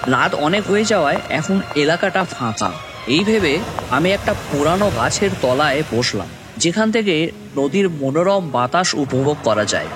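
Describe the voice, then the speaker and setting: A man's voice: fairly high pitch, very animated, normal speed, noisy background. A man playing a dejected, jobless young son who narrates his own cartoon story. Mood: calm narrating. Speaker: narrator and lead character. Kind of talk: first-person dramatic story narration. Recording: music bed under voice.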